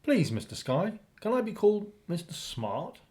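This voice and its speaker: An actor in a radio play playing a plucky, literal-minded, polite man with an earnest, clipped, deadpan delivery. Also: high voice, normal speed. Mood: earnest, compliant.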